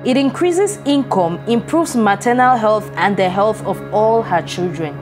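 A woman giving calm, clear scripted narration. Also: mid-range voice, unhurried, music in the background.

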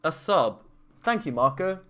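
Bright-voiced twenties male streamer. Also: on the high side, big pitch swings, a little slow. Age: 20s. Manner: loose, profane banter with chat. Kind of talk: casual reaction commentary.

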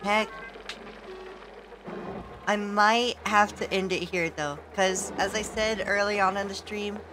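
A woman streaming a game with casual, playful commentary, laughing often, her voice a little hoarse and sore. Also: medium pitch, steady tone, slow, music in the background. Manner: giggly, playful, exclaims at the game.

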